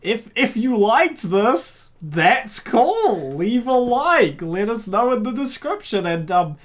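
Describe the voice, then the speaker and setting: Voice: mid-range voice, sing-song, takes it slowly. A host narrating an amateur comic dub, mixing storytelling with jokey, rambling casual commentary.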